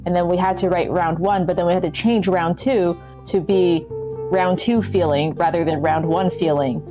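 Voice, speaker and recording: A woman's voice: fairly low pitch, steady tone, moderate pace. A woman on a game development team, chatting casually in an interview. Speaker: game developer and project manager. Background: background music.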